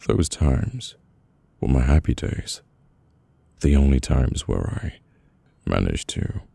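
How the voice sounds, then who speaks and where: A man with a British accent playing a brooding, guarded mafia boss in an intimate, halting, murmured ASMR roleplay. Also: rumbling low, creaky, warm tone, breathy edge, no echo, exaggerated voice. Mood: wistful, regretful.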